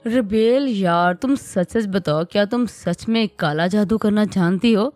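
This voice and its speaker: A woman's voice: mid-range voice, expressive, moderate pace, no room sound, faint music behind, natural voice. Woman reading as a teasing young husband. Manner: bantering, teasing.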